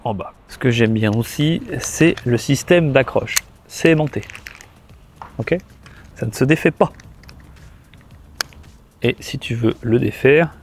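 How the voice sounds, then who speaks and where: A man's voice: medium pitch, a little hiss or hum. A man giving a casual hands-on product tutorial, chatting informally to the viewer and thinking aloud as a gear reviewer.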